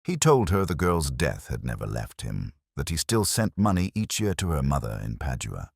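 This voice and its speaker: A man narrating a scripted first-person detective story in measured, literary phrasing. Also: very deep voice, wildly swooping pitch, vocal fry, darkish tone, breathy edge, no echo, clean studio sound.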